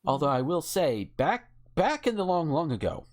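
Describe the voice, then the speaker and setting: A man's voice: a little high, sing-song, moderate pace. A man co-hosting a podcast, talking casually in a rambling, wry and opinionated way. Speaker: podcast co-host. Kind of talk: casual chat.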